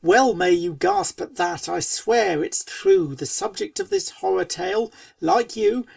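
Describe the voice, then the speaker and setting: A woman's voice: mid-range voice, big pitch swings, measured pace, vocal fry, darkish tone, no echo, far from the mic, heavily acted. A woman playing a pony storyteller who tells a spooky storybook tale in verse to young foals, with dramatic, schoolteacherly delivery. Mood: spooky, theatrical. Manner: dramatic, schoolteacherly, chiding asides. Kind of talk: theatrical storybook narration in verse.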